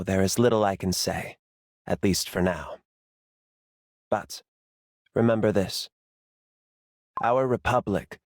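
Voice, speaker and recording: Game casting: man as a solemn, resolute statesman, lowish voice, dry close sound, formal and measured. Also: no room sound, lightly acted. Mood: solemn resolve. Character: dignified, guarded, resolute statesman.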